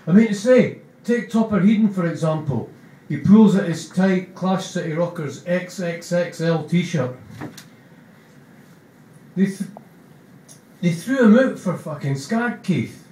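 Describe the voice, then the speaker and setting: A man's voice: high-pitched, expressive, a little slow, long pauses, mellow, a little hiss or hum. A man voicing an eager, chatty young male drummer with a Scottish accent, rambling earnestly. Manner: earnest, rambling rock trivia. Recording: roomy, distant mic.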